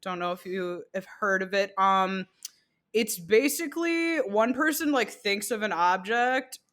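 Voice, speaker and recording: A young woman co-hosts a podcast in a relaxed, rambling way, and she laughs often. Her voice is bright and forward. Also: medium pitch, a little slow. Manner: giggly, rambling, many "like"s. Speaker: podcast co-host, game designer. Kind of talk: casual podcast chat.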